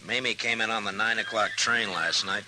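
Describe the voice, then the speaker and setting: An actor playing a calm, quietly authoritative marshal of the Old West, with an American accent and a measured, polite manner, in 1950s radio western style. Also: male voice, fairly low pitch, noisy background, natural voice. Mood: quiet, businesslike. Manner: measured, polite, understated, hesitant phrasing. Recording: old radio recording with music.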